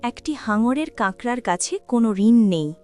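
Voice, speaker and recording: Woman as powerful shark, arrogant, deceitful, cartoon fable, fairly high voice, light music behind. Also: lively intonation, moderate pace, a little mellow, lightly acted. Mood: mocking.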